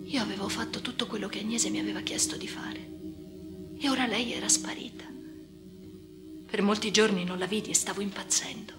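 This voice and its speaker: An actress playing a haunted, obsessive woman in an intimate radio drama monologue, breathy and often whispering, with slow, halting, intense phrasing. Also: mid-range voice, steady tone, close to an everyday voice. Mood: desperate, yearning. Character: haunted, obsessive female amputee confiding in her chosen successor. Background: music.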